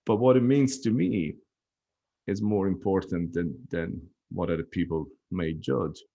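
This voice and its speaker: A man with a low voice talks through his life as a podcast guest. He's reflective and easygoing, and the recording sounds a little dull and lo-fi. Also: fairly even intonation, a little slow, creaky, dark tone. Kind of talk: casual chat.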